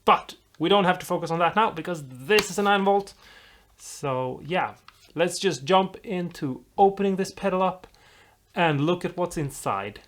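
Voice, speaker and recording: DIY video host from Europe: informal, mid-pitched, encouraging, rambles through step-by-step instructions. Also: moderate pace. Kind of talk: casual tutorial, talking viewers through a build.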